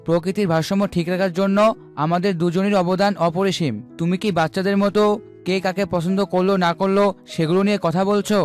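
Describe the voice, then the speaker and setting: A man's voice: high-pitched, steady tone, fast, darkish tone, faint music behind, close to an everyday voice. A man voicing a proud, bickering talking sun or moon in a cartoon fable. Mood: boastful bickering.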